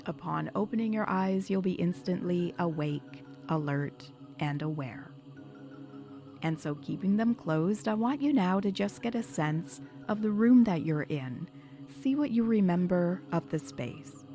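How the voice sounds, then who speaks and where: Woman doing guided hypnosis meditation, kinda low voice, calm soothing instructions, faint music behind. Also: unhurried. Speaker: hypnotherapist guiding a session. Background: background music.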